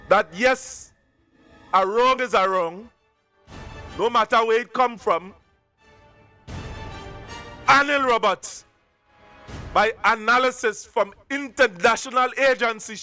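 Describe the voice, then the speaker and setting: A Trinidadian man rants about politics on a live stream in a very high, bright, sharp voice. He scolds his listeners in local dialect and keeps repeating himself for emphasis. Faint music sits behind him.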